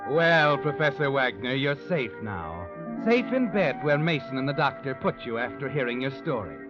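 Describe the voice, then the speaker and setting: An actor playing a sinister, all-knowing narrator in an old radio noir drama, ominous and insinuating. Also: male voice, medium pitch, expressive, moderate pace, lightly acted. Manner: ominous, insinuating second-person storytelling. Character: sinister all-knowing male narrator haunting a guilty man. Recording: dull old radio recording, music behind. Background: music.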